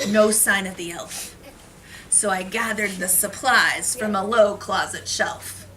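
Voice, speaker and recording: A woman teacher giving an animated, expressive children's read-aloud, pausing to explain things, recorded from a distance in a classroom. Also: medium pitch. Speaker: elementary school teacher reading aloud. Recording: noisy classroom, distant mic.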